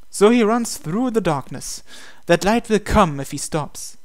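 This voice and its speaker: A man's voice: sits high, lively intonation, slow pace. A man hosting a gaming channel, reading visual novel narration aloud.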